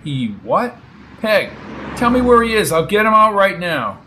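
A man voicing a brash, impatient, outraged man in an audio story, with a gruff, demanding manner. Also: high voice, animated, moderate pace, a bit bright, slight background noise, pitched above the performer's own voice. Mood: impatient, urgent.

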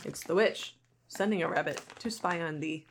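A young woman co-hosting a podcast who speaks quietly in a dry, laid-back way. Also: sits low, moderate pace, a little hiss or hum. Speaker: podcast co-host. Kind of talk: casual chat.

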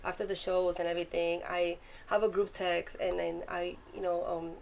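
Gravelly bronx puerto rican lady, talks fast, comedian on a podcast. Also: lowish voice, rough recording. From New York. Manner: fast-talking, animated, laughs often. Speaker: comedian guest. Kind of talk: casual podcast chat.

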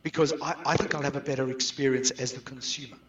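A man's voice: medium pitch, steady tone, quick pace, dull audio, background noise. South african guy, middle aged, chatting as an interview guest, echoey and kinda far from the mic. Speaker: guest outsourcing business expert. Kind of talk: conversational interview answers. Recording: roomy echo, distant from mic.

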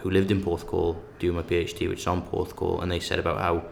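A man's voice: low-pitched, monotone, quick pace, creaky, a little hiss or hum. A man in casual academic discussion, talking with many restarts and emphatic bursts.

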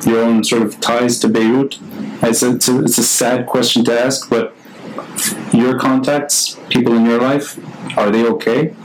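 A man's voice: medium pitch, level tone, moderate pace, a little hiss or hum, rough recording. A man hosting an unscripted live interview on a video call, talking informally and hesitantly, thinking aloud as he goes. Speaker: podcast host.

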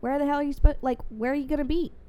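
A young woman with a stuffy, congested voice, chatting casually and getting animated when she makes a point. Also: racing. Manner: animated, rises sharply in pitch when emphatic. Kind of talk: casual chat.

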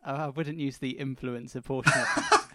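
A man's voice: medium pitch, steady tone, a little fast, heavy background noise. A man co-hosting a football podcast in a casual, chatty style. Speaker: podcast co-host.

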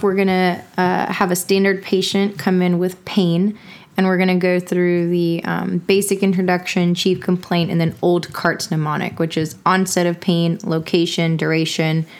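A young woman from Texas with a Texan accent, co-hosting a casual podcast in a chatty, joking way. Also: fairly low pitch. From Houston, Texas. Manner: chatty, joking, laughs often. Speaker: podcast co-host, medical applicant.

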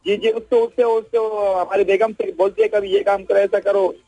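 Male caller, high voice, sore throat, halting and repetitive, faint music underneath. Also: fairly even intonation, warm tone, dry recording, rough recording.

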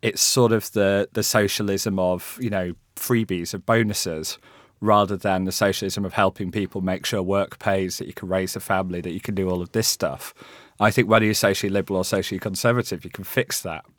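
A man's voice: sits low, fairly even intonation, a little fast, fairly bright tone, no echo, clean studio sound. A young man with a West Yorkshire accent, a panel guest talking in a hesitant, thoughtful, conversational way. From England. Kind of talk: panel discussion.

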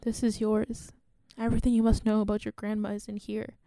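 A woman acting in a radio play as a guarded genocide survivor and refugee, speaking in a measured, grave and earnest way. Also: mid-range voice, completely flat delivery, dark tone, no echo, natural voice. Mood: flustered, urgent.

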